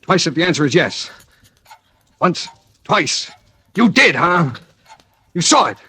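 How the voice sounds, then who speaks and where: A man's voice: a little high, sing-song, takes it slowly, pushed and bright, breathy edge, dry recording, heavily acted. An actor playing a desperate amnesiac man wanted for murder in a 1940s radio thriller, urgent and insistent, on an old radio recording. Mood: coaxing, hushed. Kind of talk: 1940s radio thriller acting with first-person narration.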